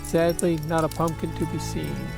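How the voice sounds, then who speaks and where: A man narrating a children's picture book aloud in a deliberate, expressive storytelling manner. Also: on the high side, vocal fry, over music.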